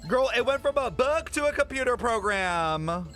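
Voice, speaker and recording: A man streaming his reaction to a game, excitable and campy, swearing freely and saying "like" constantly. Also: extremely high pitch, normal speed, soft background music. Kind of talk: casual reaction commentary.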